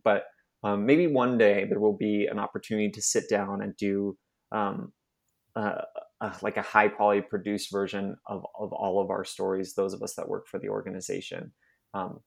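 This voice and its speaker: A young adult man from Arizona, a nonprofit staff member, telling his personal story in an interview, halting and emotional with many restarts. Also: fairly low pitch, vocal fry, a little mellow.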